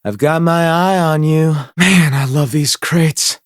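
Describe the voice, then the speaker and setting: A man's voice: a little high, slow pace, no echo, studio quality. A man voicing a chatty, starstruck island villager in a cartoon game, gushing and melodramatic. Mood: suspicious, doting. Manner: gushing, melodramatic, casual slangy phrasing. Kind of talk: cartoon video game voice acting.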